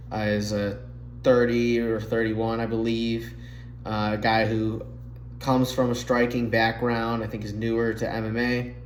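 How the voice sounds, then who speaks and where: A man's voice: medium pitch, a little hiss or hum. A man hosting a solo MMA picks show, talking casually and sounding nasal after being sick, recorded on a phone mic. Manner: chatty, fillers, slightly rambling analysis. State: nasal from recent illness. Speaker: MMA podcast host making fight picks. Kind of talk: casual solo fight breakdown. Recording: echoey room on phone mic.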